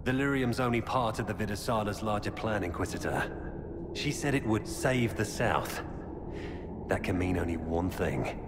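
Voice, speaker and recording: An actor playing a grim former Templar turned Qunari informant in a video game, speaking in a grave, measured, earnest way. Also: male voice, on the low side, expressive, lightly acted. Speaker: game character. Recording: game audio with long reverb and ambient noise.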